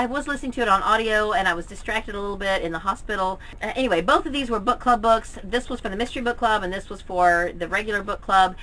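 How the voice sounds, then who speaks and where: A woman's voice: mid-range voice, bright, forceful tone, poor audio. An American woman from Oklahoma chatting casually and candidly as she gives her opinions on her reading, like a vlogger. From Oklahoma, United States. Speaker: book reviewer, vlogger.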